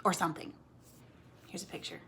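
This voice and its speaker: A woman with a slightly husky voice leading a read-aloud lesson for children, explaining things simply in an expressive, coaxing way and speaking to them directly. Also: brisk, slight background noise. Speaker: teacher reading aloud to children.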